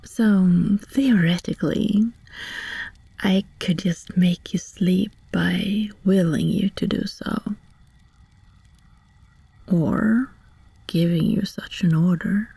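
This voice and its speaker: Slow, very breathy sorceress, acted by a woman. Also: fairly low pitch, steady tone, mellow, no room sound, heavily acted. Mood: calm, explanatory. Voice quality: breathy, half-whispered. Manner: slow, soothing, deliberate with long pauses. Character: friendly, calm sorceress, gentle and reassuring. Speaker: ASMR roleplay voice actor. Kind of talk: whispered ASMR hypnosis roleplay. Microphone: close, dry microphone.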